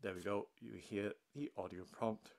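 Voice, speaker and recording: A man with a fairly low, slightly creaky voice reviews a gadget in a casual, unscripted way. He narrates his own actions step by step, indoors at a table. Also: steady tone, moderate pace. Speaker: tech unboxing reviewer. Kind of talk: casual unscripted product demonstration.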